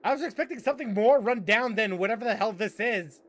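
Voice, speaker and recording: A man's voice: high-pitched, quick pace, a bit bright, a little hiss or hum. A man hosting a let's-play, commenting on the game in a sarcastic, incredulous way. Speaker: gaming channel host.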